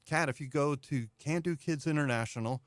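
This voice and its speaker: A man's voice: fairly high pitch, fairly quick, no room sound. Man giving event details, dry studio. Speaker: interview guest, event organizer. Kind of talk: conversational announcement. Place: radio studio.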